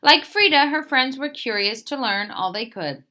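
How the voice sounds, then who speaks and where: A woman's voice: high-pitched, expressive, normal speed, bright, forceful tone. A woman reads a children's picture book aloud as a storyteller, expressively, lifting the openings of phrases and settling at sentence ends.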